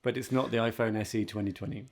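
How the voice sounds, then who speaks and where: A man's voice: fairly low pitch, fairly even intonation, quick pace. A man co-hosting a casual tech podcast, talking in an insistent, contrarian way. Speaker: podcast co-host. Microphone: remote call setup. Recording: remote video call.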